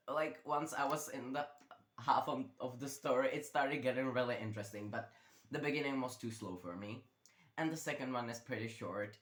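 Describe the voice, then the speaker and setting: A young man chats loosely about books, filling his talk with fillers and side remarks. His voice sits at an average pitch and rings in a roomy bedroom echo. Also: fairly bright tone. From Czech Republic. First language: Czech. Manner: chatty, rambling, with many fillers. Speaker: book vlogger. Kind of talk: casual chat.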